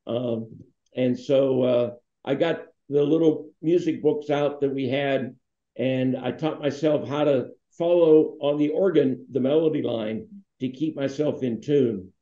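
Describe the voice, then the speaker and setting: A man's voice: mid-range voice, a little slow, dark tone. Older man telling stories, roomy dull sound. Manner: rambling, anecdotal, with many fillers. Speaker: foundation founder, interviewee. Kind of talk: relaxed storytelling. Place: home room with wall hanging. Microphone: video call webcam. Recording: roomy, slightly dull webcam audio.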